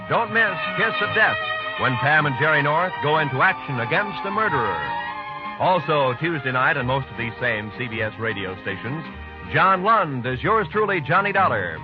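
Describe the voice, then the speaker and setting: Animated, high-pitched male vintage radio announcer. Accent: American. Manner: polished, dramatic emphasis. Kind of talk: 1950s radio announcing, scripted. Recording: muffled vintage radio broadcast recording.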